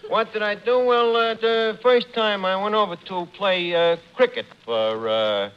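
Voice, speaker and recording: An actor playing a brash, boastful, slangy tavern manager in old-time radio comedy. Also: male voice, sits high, measured pace, clean tone. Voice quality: colloquial, slangy delivery. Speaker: bar manager in a radio sitcom. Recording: dull, noisy old radio recording.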